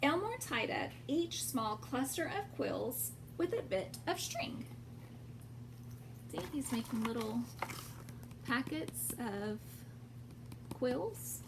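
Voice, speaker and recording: A woman reading a storybook aloud expressively to young children, pausing to explain things simply. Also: high voice, very animated. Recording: clear background noise.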